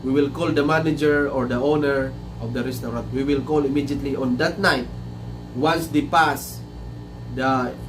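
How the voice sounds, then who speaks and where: A man's voice: medium pitch, steady tone, normal speed, distant mic, over music. A man in his thirties with a Filipino English accent, a chef hosting a casual livestream, chatty and repeating himself, slipping into Filipino now and then. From Philippines. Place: small room indoors. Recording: noisy room with air conditioner hum. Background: air conditioning hum.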